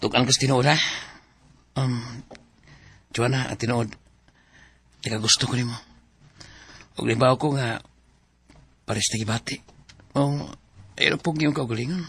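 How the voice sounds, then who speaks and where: A man's voice: mid-range voice, fairly even intonation, measured pace, pauses often, a bit bright, husky. An actor playing an earnest young male coworker confessing his feelings in a radio drama.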